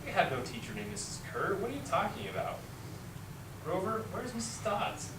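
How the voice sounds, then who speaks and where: A man's voice: high voice, big pitch swings, moderate pace, a bit bright, heavily acted. Troubled schoolboy hero for reader's theater adult man, sincere storytelling, recorded far from the mic with noise. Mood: confused. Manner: earnest first-person storytelling. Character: troubled twelve-year-old schoolboy, put-upon, earnest narrator. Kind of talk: amateur reader's theater acting. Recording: echoey room, distant mic, clear noise.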